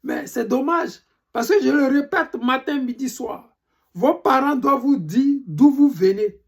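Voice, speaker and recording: Gravelly Ivorian voice, indignant political monologue. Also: high voice, lively intonation, a little slow. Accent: Ivorian French. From Côte d'Ivoire. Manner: indignant, emphatic, repeats questions. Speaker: political commentator. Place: living in Europe.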